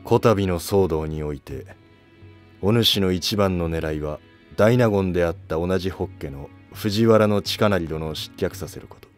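A man playing an aging, probing court minister in a historical drama, speaking gravely and deliberately. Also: low voice, faint music behind, close to an everyday voice.

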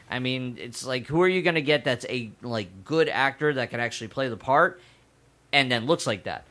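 Dude hosting a podcast, says like constantly, swears a lot, mid voice. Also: male voice, medium pitch, fairly bright tone. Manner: talkative, hedging with "like", profane. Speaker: podcast host. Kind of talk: casual podcast chat.

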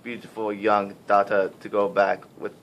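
A faint rumbling noise sits under a man's low voice. He narrates a puppet show as casual, slangy storytelling on an amateur recording. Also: flat delivery, moderate pace, lo-fi sound.

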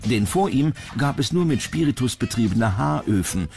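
A man's voice: mid-range voice, lively intonation, moderate pace, gravelly. A man reading scripted documentary narration as the narrator of a countdown show. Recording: music under the voice. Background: background music.

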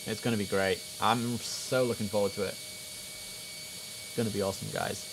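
A man's voice: fairly low pitch, fairly even intonation, normal speed, vocal fry. A young man in a headset streaming an air traffic control game, chatting to his viewers and giving clipped radio calls. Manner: chatty, addresses chat, mixes in clipped radio phrasing. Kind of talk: casual gameplay commentary with radio calls. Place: home room at a desk.

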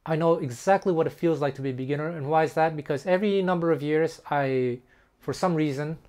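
Man, middle-aged, Taiwanese speaker, slightly high voice, casual teacher, earnest, halting with repeated words. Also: darkish tone. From French-speaking Canada. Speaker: guitar teacher. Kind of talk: casual talk to camera. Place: small room indoors.

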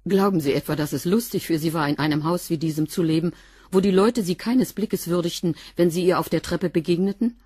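A woman's voice: on the low side, brisk, mellow, breathy edge, no echo. Curt, guarded cleaning woman. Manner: dry, curt, a bit defiant. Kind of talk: radio drama acting.

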